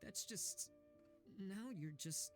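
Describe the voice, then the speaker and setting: A woman's voice: medium pitch, takes it slowly, soft background music, close to an everyday voice. A woman voicing a mild, hesitant candidate in a video game.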